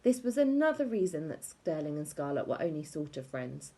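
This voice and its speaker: A woman narrating a children's book aloud in an expressive scripted storytelling style. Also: deep voice, brisk. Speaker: audiobook reader.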